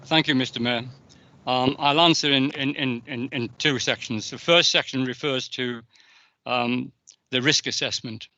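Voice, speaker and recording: A man's voice: medium pitch, fairly even intonation, a little slow, bright, forceful tone. A man councillor giving a hesitant answer, full of ums and restarts. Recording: dull lo-fi dry audio.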